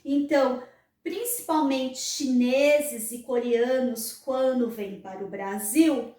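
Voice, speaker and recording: A woman's voice: high voice, takes it slowly, warm tone. A Brazilian woman hosting her own channel, explaining earnestly and often checking in with tag questions. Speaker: channel host. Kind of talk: explanatory vlog talk. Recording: roomy echo, mic at a distance.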